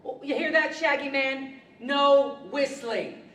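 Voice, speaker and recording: A woman's voice: high-pitched, slow, fairly bright tone. A woman playing a timid, dramatic living rag doll girl in a 1940s-style radio play, with melodramatic delivery. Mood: teasing. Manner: melodramatic, rhyming. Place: large indoor library event hall, live stage. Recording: echoey hall, distant mic, faint noise.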